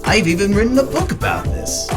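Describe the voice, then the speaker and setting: Psychopathic clown villain, gleeful, mock-affectionate, wildly animated pitch, radio drama, music behind. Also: male voice, fairly quick. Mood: wheedling, grandiose.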